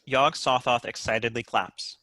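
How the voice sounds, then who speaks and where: A man's voice: medium pitch, unhurried, sharp tone, dry recording. A man reading out stage directions as the narrator of a scripted table read.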